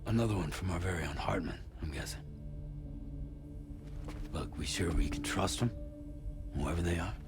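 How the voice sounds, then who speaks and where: An actor playing a gruff, guarded, weary courier in a video game, muttering tersely in a gravelly voice. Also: male voice, low-pitched, moderate pace, pauses often, over loud music. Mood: wary. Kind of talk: video game cutscene acting.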